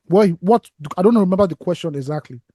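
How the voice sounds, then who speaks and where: Mellow-voiced man, rambling podcast chat. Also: high-pitched, animated, quick pace. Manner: discursive, restarts, drops to a mutter at phrase ends. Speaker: podcast co-host.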